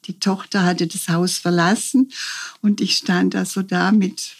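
A middle-aged woman, a volunteer hospice companion, speaking reflectively in a conversational podcast interview, often pausing to restart her sentences. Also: lowish voice, fairly even intonation. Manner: reflective, with frequent short restarts.

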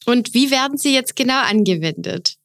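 A woman's voice: a little high, expressive, normal speed, creaky. A woman hosting a podcast interview, asking friendly questions and encouraging her guest. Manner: warm, encouraging, frequent affirming murmurs. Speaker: podcast host and education officer.

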